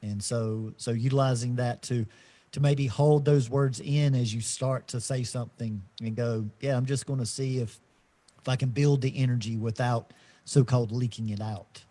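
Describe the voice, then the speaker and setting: A middle-aged man co-hosting a podcast, talking slowly and reflectively in a casual spiritual chat, with many fillers and restarts. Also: mid-range voice, steady tone, warm tone. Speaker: podcast co-host.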